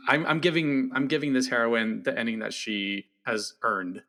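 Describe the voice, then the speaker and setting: Man, bright voice, film critic guest, casual chat, stammering restarts. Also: medium pitch, fairly even intonation, normal speed.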